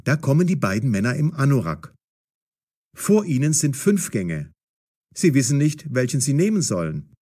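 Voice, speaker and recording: A man narrating a scripted audio story as storyteller, with a husky voice. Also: medium pitch, big pitch swings, normal speed, dark tone.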